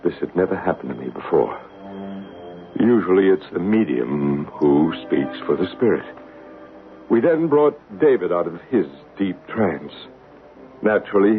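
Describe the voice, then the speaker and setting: An actor playing a male psychic who guides a séance in slow, hushed tones and narrates the story, in a 1970s radio drama. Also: sits low. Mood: narrating. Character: calm, soothing male psychic guiding a séance. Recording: narrow, hissy old radio broadcast.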